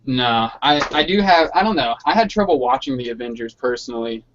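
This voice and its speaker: A man's voice: medium pitch, expressive, a little fast, a bit bright, slight background noise. A man chatting casually in slangy, sweary language over an online hangout call with dull, lo-fi sound. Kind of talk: casual chat. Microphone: online video hangout.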